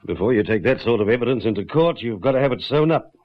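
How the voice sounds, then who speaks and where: An actor playing a firm, brisk, authoritative Australian police detective in a radio drama. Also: male voice, mid-range voice, lively intonation, lightly acted. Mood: determined. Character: determined, hard-nosed Australian police detective. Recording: dull lo-fi radio recording.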